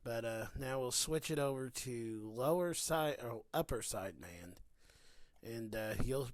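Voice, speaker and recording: Male hobbyist demonstrator, average pitch, informal and folksy, close dry mic, off-the-cuff feel. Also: lively intonation, unhurried, no echo. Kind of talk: casual hands-on demonstration.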